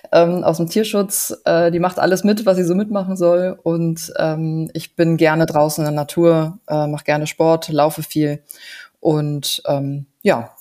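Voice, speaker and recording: A woman's voice: low voice, warm tone. A woman in her forties from Germany, a business consultant speaking as an interview guest. She talks thoughtfully, with frequent hesitation sounds. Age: 40s. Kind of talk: casual interview talk.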